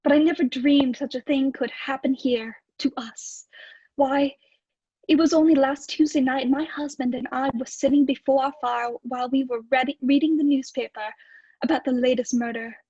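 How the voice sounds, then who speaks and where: An anxious, fretful landlady from an old radio mystery, acted by a woman. Her voice is high and dramatically worried. The recording is dry and slightly dull. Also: fairly even intonation, normal speed, mellow, slightly rough, no echo. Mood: shaken, distressed. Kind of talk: radio play acting.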